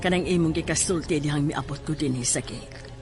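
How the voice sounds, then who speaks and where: Lady doing a strict mom with secrets, slow probing talk, horror radio play, loud music under it. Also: low-pitched, natural voice. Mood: stern, accusing. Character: stern, secretive mother questioning her schoolgirl daughter. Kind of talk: horror audio drama acting.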